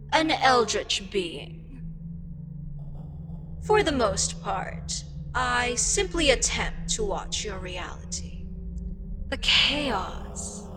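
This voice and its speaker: Woman playing a slow-speaking, wry eldritch goddess over music. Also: mid-range voice. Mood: calm, intrigued. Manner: unhurried, condescending, dryly sarcastic. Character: frustrated, condescending, wryly amused female eldritch deity. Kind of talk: ASMR audio roleplay.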